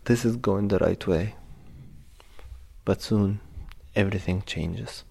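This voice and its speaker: A young man from Egypt narrating a personal story in a quiet, reflective, plain way. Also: lowish voice, slow, vocal fry, husky.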